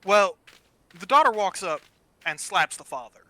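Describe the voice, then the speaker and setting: A man's voice: high voice, animated, normal speed, pushed and bright, no room sound. A man chatting casually during gameplay, telling stories in a blunt way with some swearing. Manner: blunt, profane, storytelling. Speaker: gaming channel co-player.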